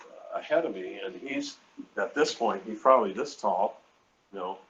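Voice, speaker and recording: An older man with a deep voice telling rambling, halting anecdotes in a casual interview, often quoting conversations. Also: expressive, fairly bright tone. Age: 60s or older. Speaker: artist being interviewed. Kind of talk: casual storytelling at a live event. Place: hotel event space. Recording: muffled lo-fi live stream, noisy room.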